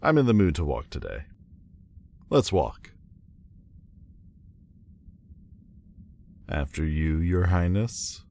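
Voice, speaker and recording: A man playing a proud, wounded demon lord in an audio roleplay, guarded but vulnerable, with halting, stiffly formal, wry delivery. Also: deep voice, warm tone, a little hiss or hum, heavily acted. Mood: wry, embarrassed. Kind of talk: intimate audio roleplay acting. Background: ambient sound effects.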